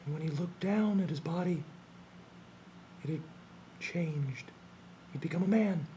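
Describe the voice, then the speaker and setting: A man telling a fairy tale slowly and deliberately, in a hushed storytelling manner. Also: on the high side, slight background noise. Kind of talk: slow dramatic fairy-tale narration.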